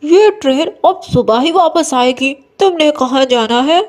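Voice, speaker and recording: A woman acts a helpful station local in a cartoon moral tale. The voice is very high. The delivery is informative and emphatic. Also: animated, measured pace, a little mellow. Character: helpful railway station local giving directions. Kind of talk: Hindi moral story cartoon dialogue.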